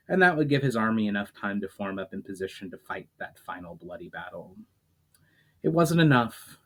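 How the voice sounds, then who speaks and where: A man, an aspiring author, reading a chapter of his own fantasy novel aloud after a hesitant introduction full of fillers. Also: mid-range voice. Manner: hesitant intro with many fillers, steady storytelling read with small restarts. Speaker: self-published author.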